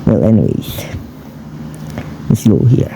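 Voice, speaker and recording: Hoarse man with a gravelly voice. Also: lowish voice, big pitch swings, slow pace, noisy background. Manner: chatty, rambling, addresses viewers as guys. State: hoarse, low voice from a raw throat. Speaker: gaming YouTuber. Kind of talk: casual gameplay commentary.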